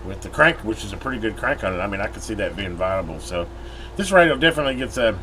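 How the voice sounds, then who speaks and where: A man's voice: on the low side, fast talker. An American man giving a casual, unscripted product review, recorded inside a metal building. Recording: clear steady background noise.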